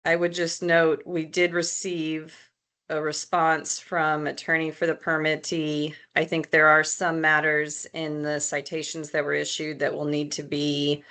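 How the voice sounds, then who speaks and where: A woman speaks as the chair of a commission meeting. Her voice is on the low side and stays quite level. She keeps to formal, procedural phrasing. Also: monotone, unhurried, bright, forceful tone. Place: public commission meeting room.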